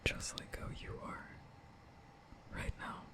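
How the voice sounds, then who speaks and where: A man playing a nervous, gentle young vampire in a whispered ASMR roleplay, soft and breathy, speaking in a tender, hesitant, apologetic way. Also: slow pace, close to an everyday voice. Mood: apologetic, soothing. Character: nervous gentle young male vampire comforting a sick human.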